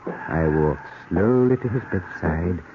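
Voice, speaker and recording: An actor playing a cunning, deranged murderer, confessing in a theatrical, brooding, repetitive 1940s radio-drama style. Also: male voice, deep voice, animated, slow. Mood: brooding, stealthy. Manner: theatrical, brooding, obsessively repeating himself. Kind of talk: 1940s radio acting, first-person narration. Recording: noisy muffled old radio recording.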